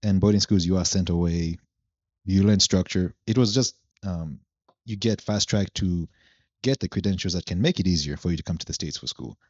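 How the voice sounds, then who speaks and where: A young man from Zambia, a podcast guest, explaining his background at length in a relaxed chat. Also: low voice, moderate pace, no echo, dull audio. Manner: relaxed storytelling, long explanations. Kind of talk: casual chat.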